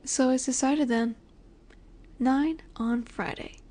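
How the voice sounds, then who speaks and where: A woman acting a slow, high-voiced flirty mafia boss. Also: steady tone, dark tone, exaggerated voice. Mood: coy, flirtatious. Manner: coy, drawn-out teasing with breathy asides. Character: flirty, teasing, confident female mafia boss. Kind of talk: roleplay audio drama.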